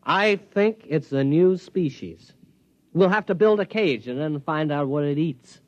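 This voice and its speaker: An actor playing an excitable, naive vacationing hunter with an earnest comic manner, in a dull-sounding 1950s radio comedy. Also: male voice, fairly high pitch, measured pace, no room sound. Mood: puzzled, earnest. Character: excitable, naive, whimsical man on a hunting vacation. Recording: dull, dry vintage radio recording.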